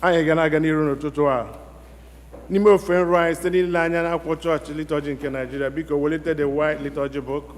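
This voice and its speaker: A man's voice: a little high, flat delivery, fast. A man with an Igbo accent leading a church service, calling out page numbers in Igbo and English. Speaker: worship leader. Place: church sanctuary. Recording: reverberant church hall with loud background noise.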